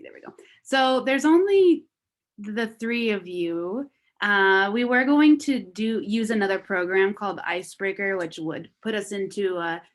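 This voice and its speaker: A young woman hosts a casual welcome session over a video call. She talks in a relaxed, chatty way, with plenty of ums and uhs. Her voice sits at an ordinary middle pitch. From Las Vegas. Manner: chatty, relaxed, many fillers. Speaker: wellness committee member hosting a video call. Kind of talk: casual online presentation.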